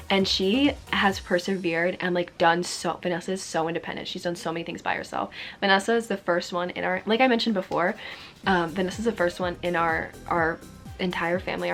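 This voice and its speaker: A young woman rattles through fan questions at a quick clip, her slightly low voice carrying a gravelly edge. She talks casually, full of "like" fillers and the odd curse. Faint music sits quietly under her voice. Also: flat delivery. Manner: chatty, frequent "like" fillers, occasional swearing. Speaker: YouTuber answering fan questions. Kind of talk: casual Q&A vlog.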